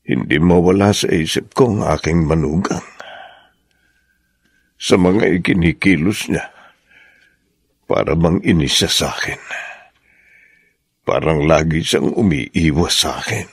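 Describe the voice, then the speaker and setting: An actor playing a gentle, anxious elderly Filipino father-in-law in a radio drama, hesitant and sighing. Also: deep voice, lively intonation, a little slow, gravelly, long pauses, husky, heavily acted. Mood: brooding, sad. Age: older. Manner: hesitant, sighing, soft-spoken.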